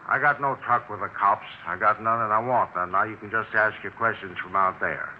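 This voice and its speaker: A man's voice: fairly low pitch, steady tone, fairly quick, natural voice. Surly, defiant man on tinny old radio acted. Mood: hostile. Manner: gruff, curt, defiant. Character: surly, hostile man who distrusts police. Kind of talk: 1950s radio drama dialogue. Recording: tinny, noisy 1950s radio recording.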